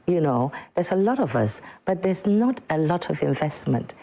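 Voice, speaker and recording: A woman from Ghana speaks as a musician guest in a TV studio. Her voice sits low and gravelly, with a husky, mellow tone. She drives her points home emphatically and often repeats a word for stress. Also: sing-song, normal speed. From Ghana, Sekondi-Takoradi. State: a bit slow this morning. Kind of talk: morning TV studio interview.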